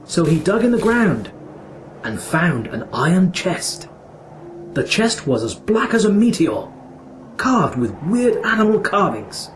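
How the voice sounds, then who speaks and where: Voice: medium pitch, big pitch swings, a little slow, slight background noise. A narrator telling a children's fairy tale in a dramatic, wondering storytelling manner. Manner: wondering, suspenseful questioning.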